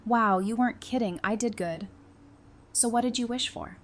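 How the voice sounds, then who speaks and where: A woman's voice: fairly high pitch, normal speed, natural voice. A woman acting in an audio drama as a teasing, affectionate woman in her early thirties, speaking casually.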